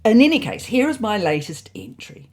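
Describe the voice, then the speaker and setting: A woman's voice: medium pitch, wildly swooping pitch, moderate pace, a little mellow, voice pushed up. A woman voicing a fussy, neurotic male ginger cat who narrates a comic storybook diary in a prim, indignant, self-important way. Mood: earnest, self-important.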